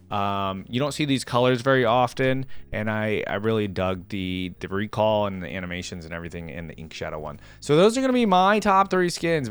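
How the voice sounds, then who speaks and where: A man giving casual, informal reaction commentary, rambling along as he talks straight to his viewers. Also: medium pitch. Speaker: reaction video host.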